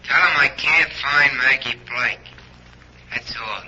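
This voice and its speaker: An actor playing a streetwise small-time pickpocket in an old-time radio drama, casual, slangy and wheedling. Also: male voice, mid-range voice, slow pace, heavy background noise, natural voice. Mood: flat. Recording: dull old radio recording.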